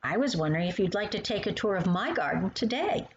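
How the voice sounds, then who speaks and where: A woman reads the part of a kindly grown woman in a children's storybook. She is gentle and reassuring, explaining things to a child with lively intonation. The recording is a bit dull with faint noise. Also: medium pitch, fast, lightly acted.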